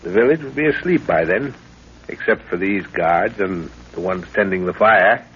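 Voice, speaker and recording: Calm, low-voiced fatherly professor. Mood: assured, instructive. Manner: patient, assured, measured. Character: calm, fatherly older professor, seasoned adventurer. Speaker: radio actor. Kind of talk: old-time radio adventure acting. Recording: muffled, noisy old radio recording.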